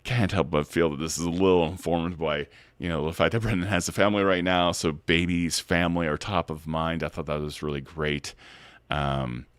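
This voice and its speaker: A man hosting a casual recap livestream, hoarse from a head cold, his voice gravelly and bassy, chatty with many fillers and restarts. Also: fairly even intonation, normal speed, no room sound. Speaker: host of a recap stream.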